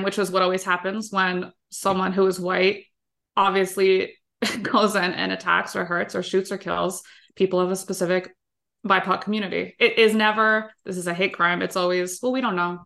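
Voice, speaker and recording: A woman's voice: medium pitch, little pitch movement, normal speed, creaky, sharp tone. A woman on a panel discussion, making her points emphatically.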